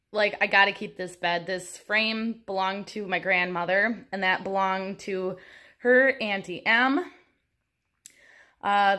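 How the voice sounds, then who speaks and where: A woman's voice: medium pitch, a bit bright. A woman, giving a casual, chatty room tour with wry, self-deprecating humor. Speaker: homestead and houseplant vlogger. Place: small upstairs room in an old house, indoors.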